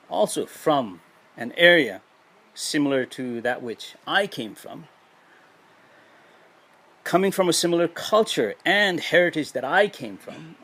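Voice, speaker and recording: A man from Nepal with a Nepali accent and a husky voice, giving an earnest motivational speech with emphatic, deliberate phrasing and pauses. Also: mid-range voice, pushed and bright. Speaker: education activist, motivational speaker.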